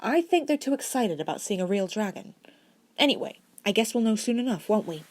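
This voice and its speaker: A woman's voice: medium pitch, animated, brisk, voice pushed up, lightly acted. A woman voicing a wary, feisty young schoolboy in a storybook reading, guarded and blunt. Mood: reassuring.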